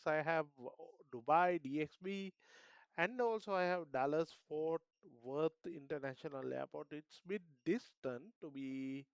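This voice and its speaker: A man's voice: on the high side, gravelly, clear, resonant tone, no room sound, highs cut off. A man with a Sri Lankan accent, thinking aloud hesitantly in casual commentary as a flight simulator streamer.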